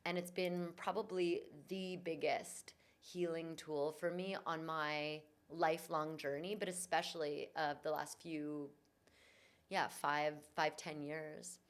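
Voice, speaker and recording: Woman guest, yoga and voice teacher; low-set voice, earnest reflective storytelling. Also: fairly even intonation, slow. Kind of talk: conversational interview. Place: in Bali.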